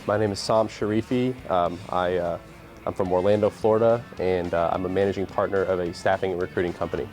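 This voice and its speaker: Deep-voiced man, halting casual interview. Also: fairly even intonation, darkish tone, soft background music. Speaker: poker player being interviewed.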